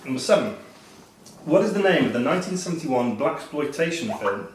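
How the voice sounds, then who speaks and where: A man's voice: on the high side, big pitch swings, a little fast. A man acting as quiz master, reading questions aloud to players in a live pub-style quiz. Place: echoey function room at a live quiz. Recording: roomy echo, distant mic, background noise.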